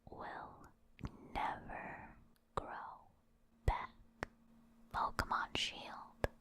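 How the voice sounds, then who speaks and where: A woman reads aloud in a soft, unvoiced whisper for ASMR. Her delivery is very slow and deliberate, with a soothing manner meant for relaxing. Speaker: ASMR creator reading game creature entries.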